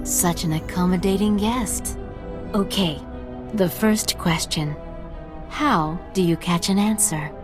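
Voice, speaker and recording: A woman's voice: on the low side, slow pace. Ancient playful female spirit, teasing and whimsical, music backing.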